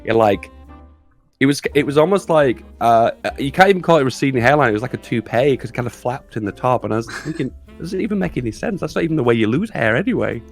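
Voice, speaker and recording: A man's voice: medium pitch, expressive, fast, gravelly, faint music behind. A man in his thirties chatting casually on a podcast, self-deprecating and chuckling often. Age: 30s. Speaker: podcast co-host.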